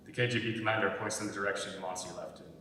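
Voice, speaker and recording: A man's voice: steady tone, rapid-fire, sharp tone. A man reading scripted narration and stage directions for a dramatic reading. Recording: echoey hall, distant mic, faint rumble.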